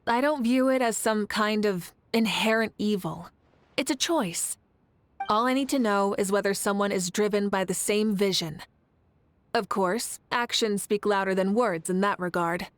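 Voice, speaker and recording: A woman voicing a confident, composed leader in a video game, speaking in a measured, articulate and thoughtful way over music. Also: medium pitch, a little hiss or hum, natural voice. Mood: composed, earnest. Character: confident, composed warrior leader, a self-described workaholic idealist. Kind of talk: video game character dialogue.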